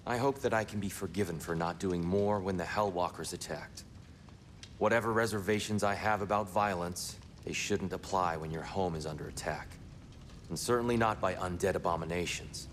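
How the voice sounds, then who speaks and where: An actor playing a calm, earnest older god who speaks in measured sentences. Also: male voice, deep voice, a little hiss or hum, natural voice. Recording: game music behind.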